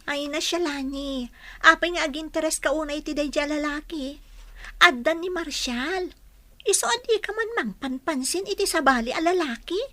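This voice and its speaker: An actress playing a lively, frank and teasing young female friend in a radio drama. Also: high voice, moderate pace, no room sound. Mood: scolding. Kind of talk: Ilocano radio drama acting.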